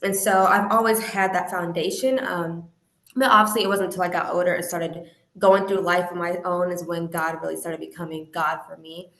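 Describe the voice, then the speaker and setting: Young Midwestern woman, lowish voice, earnest and reflective guest sharing testimony; roomy, echoey sound. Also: fairly even intonation, normal speed, fairly bright tone. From Indiana, American Midwest. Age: young adult. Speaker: interview guest. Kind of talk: personal testimony in conversation. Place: remote online call. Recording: roomy, echoey call audio.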